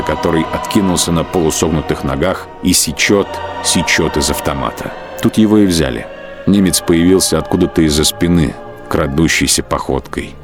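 A man giving a measured, dramatic literary narration of a war story for an audio drama. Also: sits low.

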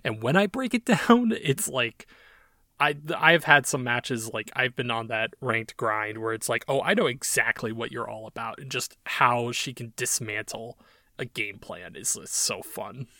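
A man's voice: medium pitch, a little slow, pushed and bright, no echo, studio quality. A man co-hosting a gaming podcast, talking in a rambling, enthusiastic way with lots of fillers. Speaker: podcast co-host. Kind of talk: casual gaming podcast chat.

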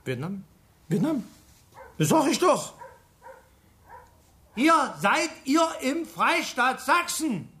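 Grumbling police station chief, high voice, very animated swings, exasperated, radio drama. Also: male voice, slow pace, long pauses, heavily acted. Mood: angry, exasperated. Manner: gruff, exasperated, swearing.

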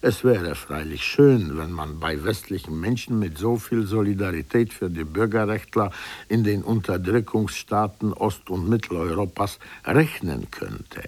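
A man's voice: deep voice, lively intonation, a little slow, darkish tone, dry recording. A man reading a prepared literary commentary aloud in an emphatic, rhetorical way. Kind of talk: prepared essay read aloud.

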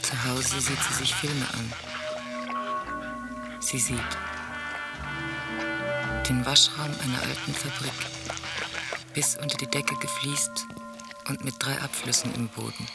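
An actor in a radio drama playing a detached teller of bleak, intimate scenes, with measured, solemn phrasing. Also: medium pitch, fairly even intonation, vocal fry. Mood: solemn, intense. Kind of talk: literary radio drama monologue. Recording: loud music under the voice. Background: music.

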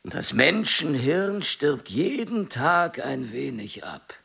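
An actor playing a grumpy, blunt old genius scientist in a German radio play, with a curt, grumbling manner. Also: male voice, mid-range voice, big pitch swings, takes it slowly, vocal fry, pushed and bright, husky, heavily acted. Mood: weary, brooding.

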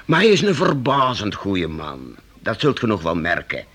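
A man's voice: lowish voice, huge pitch swings, moderate pace, a bit bright, husky, full character voice. An actor playing a fatherly, reassuring older master in a radio drama, with earnest old-fashioned speech. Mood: relieved, friendly.

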